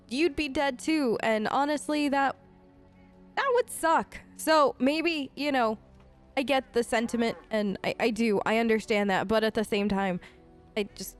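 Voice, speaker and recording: A woman hosting a let's play, improvising in character in a casual, halting, supportive conversational way. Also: on the high side.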